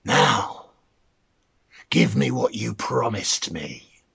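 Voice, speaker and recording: A man voicing a gloating, spiteful little mannikin in a storybook reading, with slow, drawn-out, menacing delivery. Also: fairly low pitch, sing-song, gravelly, fairly bright tone, slightly rough, voice pushed down, full character voice. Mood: sly, bargaining.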